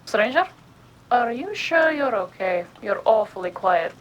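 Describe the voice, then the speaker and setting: A woman playing a higher-voiced, concerned comrade. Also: expressive, unhurried, muffled recording, a little hiss or hum. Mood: coaxing, worried. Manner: hesitant, caring. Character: friendly, concerned female comrade calling in. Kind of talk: casual audio drama dialogue.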